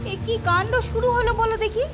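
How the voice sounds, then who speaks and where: A woman voicing a fretful, doubting village wife in a horror cartoon, with exclamatory, drawn-out questions. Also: extremely high pitch, steady tone, music in the background. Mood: bewildered, worried. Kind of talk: Bengali horror cartoon dialogue.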